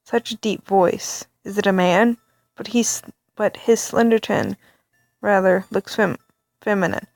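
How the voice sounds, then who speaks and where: A woman reads a story game aloud into a close mic, reacting as she goes and often stumbling over words. Her pitch sits mid-range, and the sound is dry with no room echo. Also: a little slow, vocal fry. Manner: animated, reactive, stammering asides. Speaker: let's play reader.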